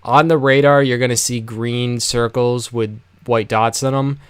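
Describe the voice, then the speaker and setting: American male game-guide narrator, conversational and loose, clean smooth tone, very dry recording. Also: mid-range voice, fairly even intonation, measured pace, no echo. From United States. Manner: rambling, offhand, conversational. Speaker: video game guide host. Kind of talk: casual game walkthrough commentary.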